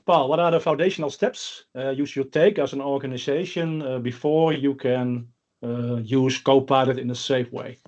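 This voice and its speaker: Dutch host, man; middle pitch, plain and steady, slightly muffled call sound. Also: normal speed. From Netherlands. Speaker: host and consultant. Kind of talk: hosting an online panel.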